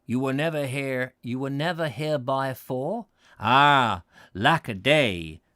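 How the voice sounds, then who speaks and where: A man voicing a chatty old male pedlar who talks in a slow, folksy, old-fashioned rural dialect. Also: mid-range voice, very animated, fairly bright tone, no echo, clean studio sound. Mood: curious.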